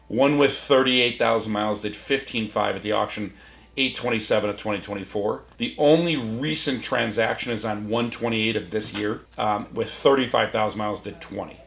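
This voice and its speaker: A middle-aged man from the area, a car buyer negotiating over the phone in a brisk, upbeat salesman manner. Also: medium pitch, creaky, a bit bright. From Chicago area, USA.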